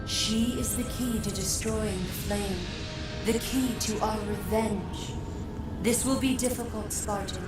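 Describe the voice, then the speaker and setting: A woman playing a solemn, commanding goddess in a video game, speaking in a grave, measured, portentous way. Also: mid-range voice, fairly even intonation, close to an everyday voice. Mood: grave urging. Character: solemn, commanding goddess guiding a vengeful warrior. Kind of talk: dramatic video game exposition. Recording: echoey, distant, loud music behind.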